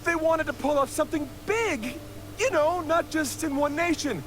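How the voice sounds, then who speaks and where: A man's voice: extremely high pitch, moderate pace. An actor playing a cowardly, shifty bandit henchman in a video game, whiny and stammering. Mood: nervous. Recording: game capture with clear background noise.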